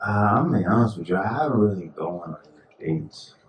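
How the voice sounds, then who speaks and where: A man's voice: on the low side, animated, fast, reverberant, far from the mic. A young man co-hosting a podcast, laid-back and teasing, mostly in short replies. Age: young adult. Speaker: podcast co-host. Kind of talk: casual chat.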